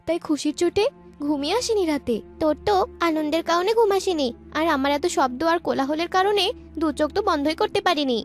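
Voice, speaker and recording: Cartoon village girl, played by a woman: very high, earnest, slightly whiny, over a music bed. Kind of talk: animated folk-tale cartoon dubbing. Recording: music behind the voice.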